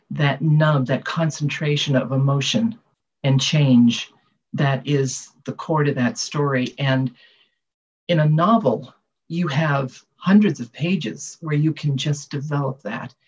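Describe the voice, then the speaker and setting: An older American woman with a husky voice, answering interview questions with reflective storytelling. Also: sits low, a little slow. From Massachusetts, United States. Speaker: guest author. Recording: dull, muffled lo-fi audio.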